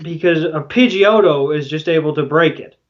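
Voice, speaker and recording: A man's voice: a little high, moderate pace, lo-fi sound. A man co-hosting a podcast, casually retelling a show in a folksy, mildly profane way. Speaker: podcast co-host. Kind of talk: casual episode recap chat.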